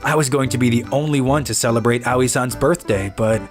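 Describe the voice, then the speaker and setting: A man's voice: mid-range voice, brisk. Earnest schoolboy narrator, acted by a man over music. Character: earnest, kind schoolboy who bakes cakes, narrating his story. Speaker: narrator and lead character of an animated story. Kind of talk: scripted narration and voiced dialogue. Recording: music behind the voice.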